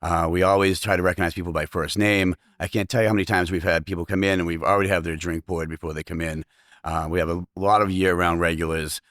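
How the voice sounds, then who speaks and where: A middle-aged man with a Boston accent and a low voice talks fast, filling his sentences with "you know" and "uh" as an interview guest. The recording is dry and close. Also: fairly even intonation, a bit bright, no room sound. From Massachusetts, south of Boston. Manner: fast-talking, lots of fillers. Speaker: restaurant owner, guest. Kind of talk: casual interview chat.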